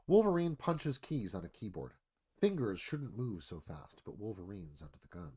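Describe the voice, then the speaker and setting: Animated man narrating an action screenplay. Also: fairly low pitch, normal speed, breathy edge. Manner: clipped, dramatic phrasing. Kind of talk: dramatic scripted narration.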